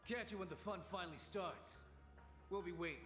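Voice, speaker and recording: A man voices a cocky young game brawler who craves thrills. He talks in swaggering slang, fairly high for a man, with hall reverb around him. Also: a little fast, close to an everyday voice. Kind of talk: video game cutscene voice acting. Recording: long hall reverb, faint music.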